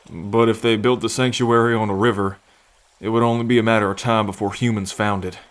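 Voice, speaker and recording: A man's voice: mid-range voice, lightly acted. A man playing a friendly, earnest half-bull man in an ASMR roleplay, talking in a chatty, folksy way. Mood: gentle, concerned. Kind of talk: ASMR roleplay, acted speech to the listener.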